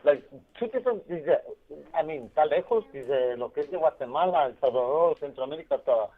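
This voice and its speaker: A man's voice: fairly high pitch, expressive, brisk. A man on a phone line, chatting casually in slang and switching between two languages. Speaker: phone caller from prison. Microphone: telephone. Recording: tinny, band-limited prison phone line.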